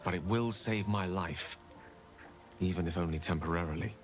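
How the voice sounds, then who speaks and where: An actor voicing a proud, rueful human wizard prodigy in a video game, speaking in eloquent, measured, theatrical phrases. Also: male voice, deep voice, faint music behind, close to an everyday voice. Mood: grave, urgent. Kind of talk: dramatic video game dialogue.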